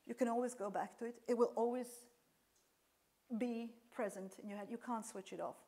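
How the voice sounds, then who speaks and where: A woman's voice: a little high, fairly even intonation, fairly quick, mellow. A woman lecturer whose first language is Serbian, answering audience questions after a talk, explaining with frequent fillers and restarts.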